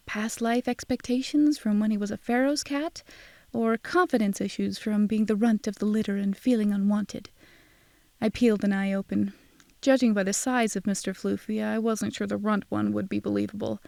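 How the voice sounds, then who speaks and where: A woman's voice: medium pitch, gravelly, a little mellow, dry recording, lightly acted. A woman playing a wry, cynical young fake psychic, narrating an audio drama in a sardonic, confiding way. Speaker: first-person narrator and lead character.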